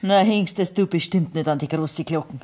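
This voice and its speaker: A woman's voice: sits low, moderate pace, warm tone. An actress playing a bitter peasant mother in a radio play, with a Bavarian accent and a blunt, sardonic, accusing manner. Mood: bitter mockery. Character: bitter, mocking peasant mother of a condemned outlaw.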